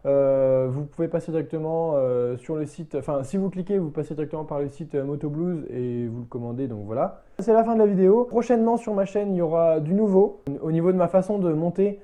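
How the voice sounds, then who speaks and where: A man giving a casual, unscripted product review as a motorbike vlogger, in a hesitant, halting way that circles back to rephrase. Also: a little high, warm tone.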